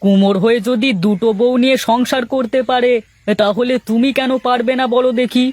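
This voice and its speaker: A man acts out a meddling young villager in a folk-tale cartoon. His voice is very high for a man, persuasive and familiar. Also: steady tone, fairly quick, darkish tone. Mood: coaxing.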